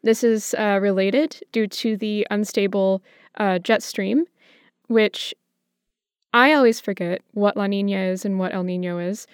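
An American woman co-hosting a podcast, explaining things in a measured, conversational way. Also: mid-range voice, steady tone, smooth, no echo, clean studio sound. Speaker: podcast co-host.

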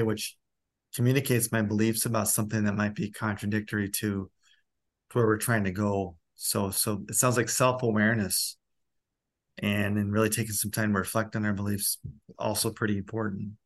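Middle-aged male interviewer, creaky and on the low side, conversational, reflective, thinking aloud. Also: flat delivery, brisk. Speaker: interviewer and school principal.

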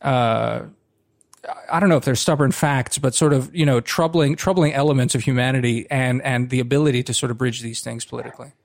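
A man from Croatia co-hosting a podcast, talking in a casual, halting way with many restarts as he thinks aloud. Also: mid-range voice, steady tone, vocal fry, no room sound, studio quality. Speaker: podcast co-host. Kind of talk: casual discussion.

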